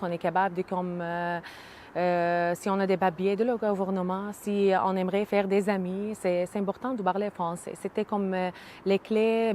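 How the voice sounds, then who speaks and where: Syrian woman, 30s, Arabic native, hesitant interviewee with frequent fillers, mid-pitched, faint noise. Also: a little mellow. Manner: hesitant, many pauses and "euh" fillers. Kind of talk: casual interview.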